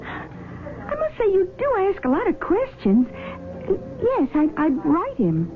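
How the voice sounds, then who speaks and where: High-voiced, flustered young fiancée. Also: female voice, huge pitch swings, takes it slowly, heavily acted. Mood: flustered, defensive. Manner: hesitant, faltering. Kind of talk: 1970s radio drama acting. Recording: tinny old radio broadcast, faint music.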